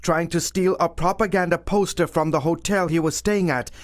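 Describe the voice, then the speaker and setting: A man narrating a television news report in a measured reporter style. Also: a little high, fairly even intonation.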